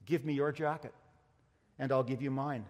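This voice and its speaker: A man's voice: medium pitch, steady tone, moderate pace, lightly acted. A man voicing a compassionate, authoritative ragman street peddler in a told story, insistent in his speech. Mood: quiet authority. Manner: calls out loudly or speaks with gentle insistence. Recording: long reverberant hall sound.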